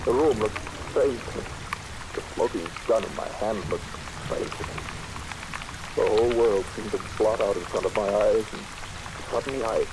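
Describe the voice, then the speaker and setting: A man's voice: lowish voice, close to an everyday voice. An actor playing a frightened traveler in an old horror radio drama, telling his nightmarish tale with dramatic intensity. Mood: stunned, grave. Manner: dramatic, hushed storytelling rising to panic. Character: frightened male traveler recounting a nightmarish killing. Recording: old radio recording under heavy rain noise.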